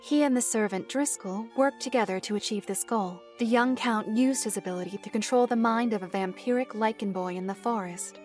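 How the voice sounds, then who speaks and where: A woman playing a solemn storyteller, narrating dark fantasy lore in a formal, measured way. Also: fairly high pitch, soft background music, natural voice.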